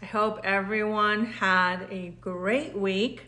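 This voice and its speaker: A woman's voice: mid-range voice, steady tone, slow pace, sharp tone. A woman from Mexico co-hosting a podcast, chatting casually and animatedly, laughing often and switching between English and Spanish. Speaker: podcast co-host. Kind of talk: casual bilingual chat.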